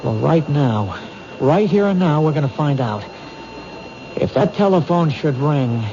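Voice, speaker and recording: A man's voice: a little high, animated, measured pace. A man playing an earnest, dogged police detective in 1970s radio drama, speaking with urgent insistence. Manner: urgent, insistent, reasoning things out aloud. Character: earnest, dogged male police detective protecting a threatened woman. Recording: noisy, dull 1970s radio recording.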